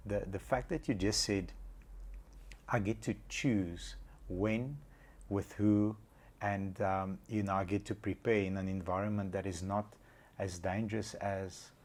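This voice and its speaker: Earnest low-voiced man from Bulgaria. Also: fairly even intonation, a little slow, a little mellow. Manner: earnest and encouraging, with some stumbles. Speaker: interview host. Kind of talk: casual interview talk.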